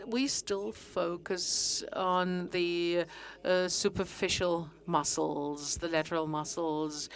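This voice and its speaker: A middle-aged woman giving a conference presentation, speaking haltingly with frequent pauses and fillers. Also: lowish voice, creaky. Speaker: conference speaker on fitness training.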